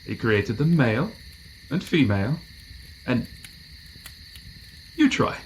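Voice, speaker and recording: An actor playing a kind young man in an audio drama, gently and patiently teaching someone to read. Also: medium pitch, big pitch swings, takes it slowly, slight background noise, poor audio. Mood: patient, encouraging.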